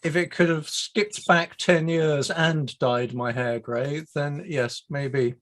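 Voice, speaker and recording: A man's voice: a little high, fairly even intonation, slow pace, noisy background. A man from the UK hosting an online meetup over a video call, chatty and self-deprecating. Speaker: meetup host. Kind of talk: casual online meetup chat.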